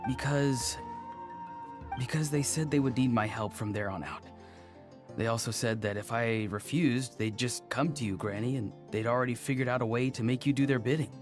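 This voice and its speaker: Man as a courteous naive young man, medium pitch, earnest, gently theatrical, anime game, music behind. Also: normal speed, natural voice. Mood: contrite. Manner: courteous, slightly theatrical, formal wording.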